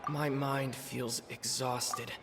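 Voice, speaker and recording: A man voicing a serious young traveler in a video game, reasoning in a measured, reflective way. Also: mid-range voice, little pitch movement. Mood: exhausted. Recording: long reverb, music behind.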